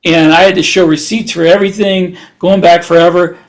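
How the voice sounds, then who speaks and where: A man with a somewhat high-pitched voice, talking casually as a podcast co-host. He tells things from his own experience in an informal, conversational style. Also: little pitch movement, a little fast.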